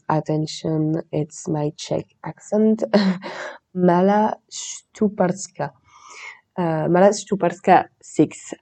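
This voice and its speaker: A woman hosting a podcast, chatting in a relaxed, meandering way and reading a legend aloud. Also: low-pitched. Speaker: podcast host.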